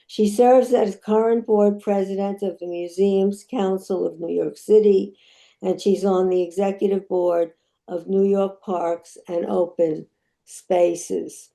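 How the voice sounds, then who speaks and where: An older woman chairing a retirees' meeting, introducing speakers slowly with many fillers over a video call. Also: mid-range voice, fairly even intonation, vocal fry, warm tone, rough recording. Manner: slow, halting, with many fillers. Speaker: chapter chairperson.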